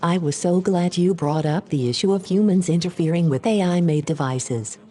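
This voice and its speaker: A woman's voice: lowish voice, moderate pace, warm tone, lightly acted. A woman playing a polite, helpful domestic AI in an audio drama, friendly and matter-of-fact. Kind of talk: scripted audio drama dialogue.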